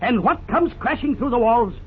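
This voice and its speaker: A man's voice: very high voice, expressive, moderate pace. An actor playing a harsh, snobbish, domineering husband and father in a 1950s radio drama. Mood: irritated. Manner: domineering, scornful, clipped orders. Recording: scratchy, tinny 1950s radio recording.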